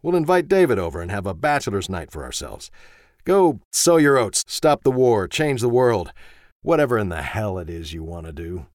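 A man's voice: lowish voice, big pitch swings, moderate pace, darkish tone, dry recording, clean studio sound. A man voicing an irritated middle-aged wife who speaks with cutting sarcasm. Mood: sarcastic.

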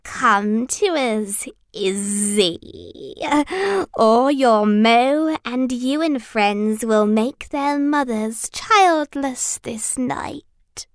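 A woman voicing a menacing living doll creature in a storybook, taunting in a rasping, gravelly voice. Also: fairly high pitch, dry recording, clean studio sound. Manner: slow, drawn-out menace.

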